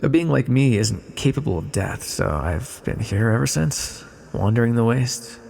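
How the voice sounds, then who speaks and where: A man playing an ancient exiled villain, a weary, teasing magical being, musing slowly and wryly in an ASMR roleplay. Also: medium pitch, animated, vocal fry, a little mellow. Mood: grave, confessing. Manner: slow, musing, wry, theatrical. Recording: long cavernous reverb.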